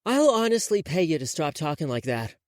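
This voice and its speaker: A man voicing a sharp-tongued young woman who answers with dry mocking wit. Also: on the high side, sing-song, a little fast, dry recording.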